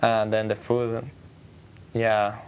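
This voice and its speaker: A teenage exchange student from Argentina, speaking English hesitantly in a studio interview. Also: male voice, lowish voice, completely flat delivery, slow, smooth. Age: teens. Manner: hesitant, searching for words.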